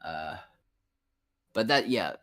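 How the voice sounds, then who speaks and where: Deep, bright-voiced man in his thirties. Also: big pitch swings, slow. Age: 30s. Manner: rambling, frank, swears a lot. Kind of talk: casual voice chat.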